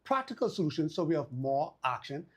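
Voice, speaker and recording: A man with a Bahamian accent hosting a casual talk show, lively and quick to repeat himself and laugh. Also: fairly high pitch. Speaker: host.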